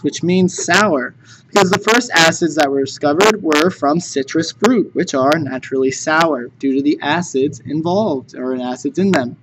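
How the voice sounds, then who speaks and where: A man teaching chemistry in a recorded lecture, conversational and jokey. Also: on the high side. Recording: noticeable background noise.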